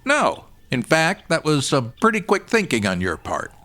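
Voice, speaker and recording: An actor playing a folksy, wry state trooper with easygoing authority in a comedy audio drama. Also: male voice, on the high side, huge pitch swings, normal speed, bright, forceful tone, exaggerated voice. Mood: reassuring.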